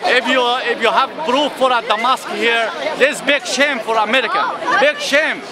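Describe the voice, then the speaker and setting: A man's voice: extremely high pitch, normal speed. A man in a street interview at a rally, indignant and speaking in broken phrases. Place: outdoor city rally. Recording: loud crowd and street noise.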